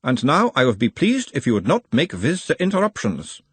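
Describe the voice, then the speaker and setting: A man's voice: medium pitch, wildly swooping pitch, brisk, a bit bright, husky, dry recording, pitched above the performer's own voice, full character voice. A man voicing a polite, patient young man who speaks formally and precisely.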